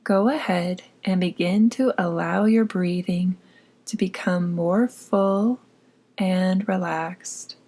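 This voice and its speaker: A woman narrating a guided meditation in a soft, breathy voice, with a soothing, gently instructing manner. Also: lowish voice, fairly even intonation, slow pace. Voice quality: soft, breathy, often near whisper.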